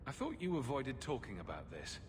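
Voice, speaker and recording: An actor playing a wry, sardonic mercenary hero in a video game, flippant about danger and killing. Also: male voice, mid-range voice, very animated, brisk, background noise, lightly acted. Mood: dryly curious. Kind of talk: dramatic video game voice acting. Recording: game dialogue with music underneath.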